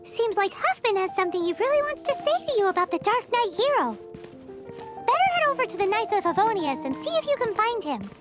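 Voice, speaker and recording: A woman voicing a tiny, excitable, cheeky fairy companion in a video game, with a squeaky childlike voice and perky, exaggerated delivery. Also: brisk, music in the background. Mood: curious. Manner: perky, exaggerated, speaks in third person. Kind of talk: animated video game voice acting.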